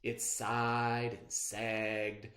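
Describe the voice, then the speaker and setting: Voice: mid-range voice, monotone, very slow, fairly bright tone. A storyteller reading a children's picture book aloud expressively, in a roomy, echoing recording.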